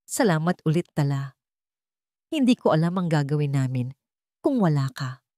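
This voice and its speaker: A woman's voice: sits low, sing-song, moderate pace, dark tone, dry recording, clean studio sound. A woman voicing a kind, melancholy widowed father in an audio story, courteous and earnest. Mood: grateful.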